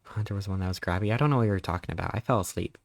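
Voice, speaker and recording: Man in his thirties, streamer, low voice, dry close sound, playful rambling, squeaky pitch jumps. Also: racing, creaky, no room sound. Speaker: live streamer. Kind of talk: casual live-stream chat reading chat messages.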